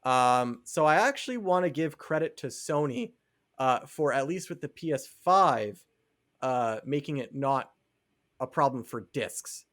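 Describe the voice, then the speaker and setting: A man's voice: mid-range voice, animated, a little slow, fairly bright tone. A man co-hosting a podcast, talking casually and explaining his opinions on video games. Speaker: podcast co-host.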